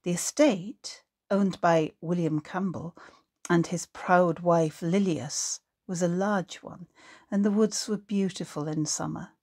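A woman's voice: on the low side, darkish tone. A woman reading literary prose aloud, as an author narrating an excerpt from a book.

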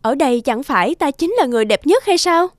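A proud, vain goddess in a myth cartoon. Her high voice is haughty and insistent, with music clearly audible behind it. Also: expressive, fairly quick. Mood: boastful. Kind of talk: animated myth dialogue.

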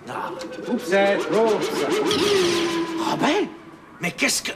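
An actor playing a scatterbrained inventor uncle with a Québécois accent, muttering and lecturing. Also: male voice, sits high, big pitch swings, slow pace, over loud music, full character voice. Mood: fussy. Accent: Québécois French. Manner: befuddled, lecturing, muttering to himself.

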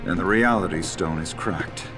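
An actor playing a grave, learned mystic in a video game, formal and solemn. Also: male voice, deep voice, moderate pace, music in the background. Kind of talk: video game cutscene exposition.

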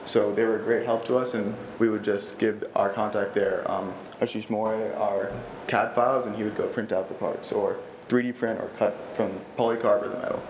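A man's voice: lowish voice, fairly even intonation, moderate pace. A teenage boy presenting his robotics team to a school board at a lectern, eager and earnest, with frequent fillers and restarts. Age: teens. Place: large school library meeting room, indoors. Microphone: standing at a lectern microphone. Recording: echoey room with faint background noise.

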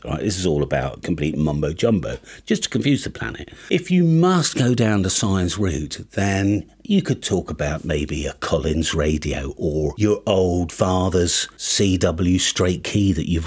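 Lively low-voiced British man. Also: husky. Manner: tongue-in-cheek, chatty, animated. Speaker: YouTube ham radio presenter. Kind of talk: comic monologue to camera, mock advice. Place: small home studio with acoustic panels. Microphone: studio microphone on boom arm.

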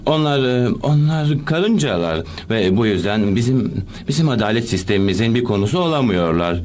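Officious cartoon mayor, played by a man, expressive delivery, medium register. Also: mid-range voice, normal speed, natural voice. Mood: hesitant. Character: male mayor, hesitant bureaucrat turning commanding. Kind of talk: animated cartoon dub.

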